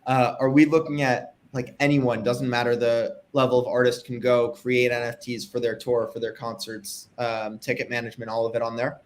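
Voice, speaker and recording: A man's voice: medium pitch, level tone. A young man hosting a live interview over a video call from home, upbeat and chatty with casual fillers. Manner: upbeat, fillers, quick reactions. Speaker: livestream host. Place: home room with framed pictures.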